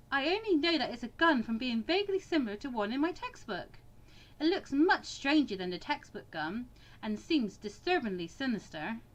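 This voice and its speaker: A woman voicing an anxious, wryly sarcastic bookish teenage girl in an expressive, introspective visual novel reading. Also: high-pitched. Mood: unsettled.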